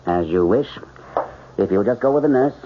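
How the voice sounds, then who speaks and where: An actor playing a principled, lovelorn surgeon, measured and formal, in 1970s radio drama style. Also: male voice, on the low side, animated, noisy background, natural voice. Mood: courteous, confident. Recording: dull, band-limited radio drama recording.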